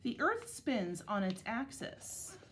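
A woman teacher reading a picture book aloud to young children, with measured, deliberate phrasing. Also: fairly high pitch, big pitch swings, a little hiss or hum.

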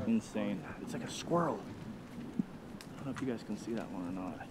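A man vlogging outdoors, chatty and excited, talking straight to his viewers. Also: fairly low pitch, moderate pace, over a noisy crowd. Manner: excited, chatty, keeps addressing viewers as guys. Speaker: vlogger hunting iguanas. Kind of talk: casual vlog narration to viewers. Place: outdoors in a neighborhood field.

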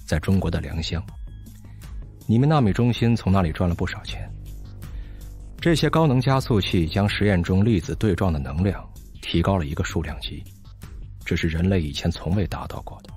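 A man's voice: low voice, a little mellow. An actor playing a bitter, brilliant, hard-drinking physicist in an audio drama, sardonic and theatrical as he lectures.

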